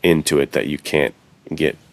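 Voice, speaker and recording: A man's voice: rumbling low, steady tone, moderate pace, dry recording. A man chatting casually as a podcast co-host, with a slangy, bro-like way of talking.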